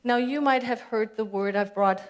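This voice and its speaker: A woman's voice: on the high side, moderate pace. A woman minister with a German accent, giving a conversational sermon and putting questions to her congregation. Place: church sanctuary.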